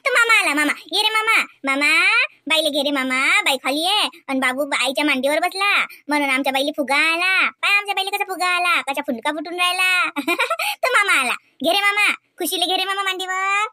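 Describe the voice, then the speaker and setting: A woman acts as a chatty village mother from a comedy cartoon, with a rural Vidarbha Marathi accent. Her voice is very high and bright, full of cooing baby talk and affectionate teasing. The sound is close and dry. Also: lively intonation, moderate pace, hardly any pauses, no echo, heavily acted. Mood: playful, cooing. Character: doting, chatty village mother and elder sister.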